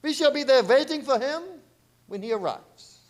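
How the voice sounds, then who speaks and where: An actor playing an intense, commanding vampire-hunting professor in a theatrical radio drama. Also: male voice, extremely high pitch, expressive, moderate pace. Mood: resolute. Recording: faint music behind live-streamed performance.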